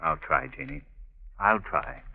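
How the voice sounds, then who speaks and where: A man's voice: rumbling low, expressive, unhurried, gravelly, husky, exaggerated voice. An American actor playing an urbane, reassuring clubman sleuth in a polished 1940s radio drama style. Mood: soothing. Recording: tinny, band-limited old radio recording.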